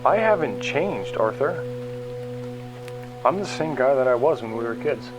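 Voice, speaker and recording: A man acts a frustrated mechanic in a sci-fi audio drama. He has a middle-pitched voice and a casual, argumentative, naturalistic way of talking. Loud music plays behind him. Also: expressive, measured pace, natural voice. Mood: hurt, accusing. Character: frustrated young-ish male mechanic hurt by estranged best friend.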